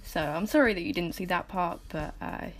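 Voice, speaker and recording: A woman with a slightly low, gravelly voice, croaky as if she has just woken up. She narrates her art process casually and chattily, like an informal vlog voiceover, with music playing behind her. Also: fairly quick. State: croaky morning voice, just woke up. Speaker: artist making painting process videos.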